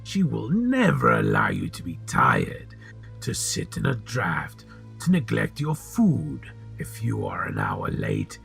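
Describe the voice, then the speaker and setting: A man playing a sly, sinister old potion seller in an audio drama, with a gravelly, husky voice and a deliberate, insinuating manner. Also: fairly low pitch, wildly swooping pitch, fairly bright tone, faint music behind, exaggerated voice.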